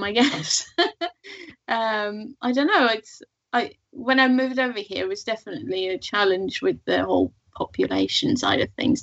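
A woman's voice: medium pitch, fairly bright tone, lo-fi sound. A woman whose first language is Swedish, chatting casually as a guest and laughing often. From Sweden. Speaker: guest artist. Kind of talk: casual chat.

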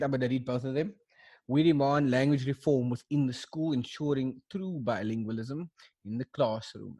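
A young man talks casually on a podcast. He is animated and full of slang, often restarting his sentences. His voice sits at a middling pitch. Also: breathy edge. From South Africa. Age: young adult. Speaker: podcast co-host. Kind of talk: casual chat, reading a list aloud.

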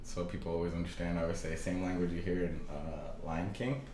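A man's voice: low-pitched, fairly even intonation, fairly quick, darkish tone, echoey room, a little hiss or hum. A young man from Tanzania, a podcast guest talking casually, full of "like" and swearing easily. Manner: casual, filler-heavy, swears and laughs easily. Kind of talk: casual interview.

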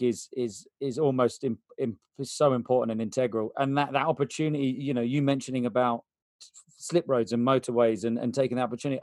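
A man's voice: mid-range voice, darkish tone, dry recording, clean studio sound. A man hosting a podcast, asking long, winding questions with lots of fillers. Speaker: podcast host. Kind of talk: casual interview chat.

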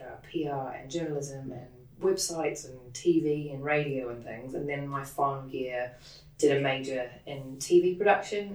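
A woman from New Zealand with a New Zealand accent, talking as a guest in a casual interview. She speaks quickly and animatedly, with lots of "like" and laughter. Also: low voice, a little mellow. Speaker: interview guest, production head. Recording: roomy sound, distant from the mic.

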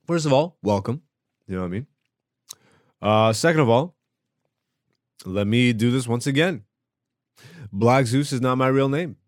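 A man's voice: medium pitch, unhurried, pauses often, no room sound. A man born in Greece doing a brash, slangy, casual comedy podcast monologue, swearing freely as he hosts from a home studio.

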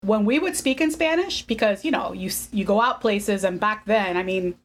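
A woman's voice: medium pitch, a little slow. Laughing bilingual woman in her fifties. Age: 50s. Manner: switches between English and Spanish, laughs often. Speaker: guest. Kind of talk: casual bilingual conversation.

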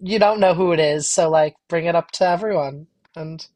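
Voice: medium pitch, normal speed, a little mellow. A podcast guest of indeterminate sex chatting casually, with amused, laid-back reactions. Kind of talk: casual chat.

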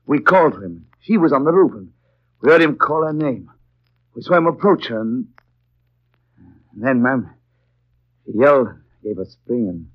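An actor playing a garrulous rustic English local, telling grim news in a halting, folksy way in 1930s radio drama style. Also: male voice, medium pitch, breathy edge. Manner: folksy, halting storytelling with repeated phrases. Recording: dull, lo-fi 1930s radio broadcast.

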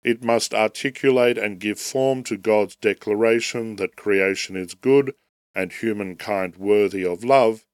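A man with a fairly low voice, made raspy and nasal by the tail end of a head cold. He hosts a podcast and reads a prepared essay on theology in a slow, formal, deliberate way. The recording is close and dry, with no room sound. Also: no echo. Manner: measured, formal, deliberate delivery. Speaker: podcast host.

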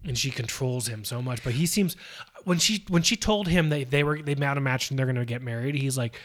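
A man co-hosting a casual podcast recap, animated and sarcastic, slipping into mocking impressions of people. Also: medium pitch, a little fast. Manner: animated, mocks people with put-on quotes. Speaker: podcast co-host. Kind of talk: casual reality-TV recap chat.

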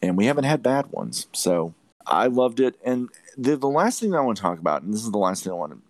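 A man's voice: medium pitch, big pitch swings, quick pace, no echo. A man hosting a podcast, giving opinionated movie reviews in an emphatic way, repeating phrases for stress. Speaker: podcast host reviewing films.

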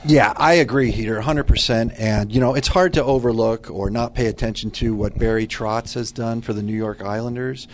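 A man's voice: mid-range voice, fairly quick, hardly any pauses, a little hiss or hum. A man giving conversational sports commentary in an emphatic, articulate way.

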